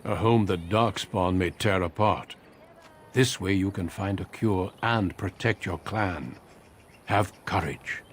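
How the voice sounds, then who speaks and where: A man playing a seasoned, steady warrior recruiter in a fantasy game, speaking in a measured, formal and courteous manner. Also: low-pitched, slight background noise. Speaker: Grey Warden recruiter. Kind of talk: video game cutscene acting.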